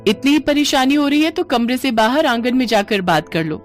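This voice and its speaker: A woman acts out a pregnant elder sister-in-law with a high voice. She is bossy and put-upon, with a scolding, reproachful way of talking. Music plays under the cartoon story dialogue. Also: lively intonation, a little fast. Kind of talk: animated Hindi family story dialogue.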